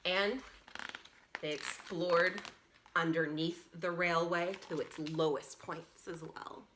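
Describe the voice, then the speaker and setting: A woman's voice: fairly low pitch, steady tone, takes it slowly, background noise. A woman presenting a read-along story for young children, reading expressively and adding encouraging asides to her little listeners.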